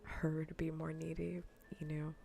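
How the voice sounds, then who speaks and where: Female ASMR streamer: hushed, breathy and gravelly, casual and bluntly teasing, close-miked over faint background noise. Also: sits low, completely flat delivery, moderate pace. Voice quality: soft, breathy, often near-whispered. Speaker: livestreamer. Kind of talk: soft-spoken ASMR, casual livestream chat while gaming. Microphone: close ASMR microphone.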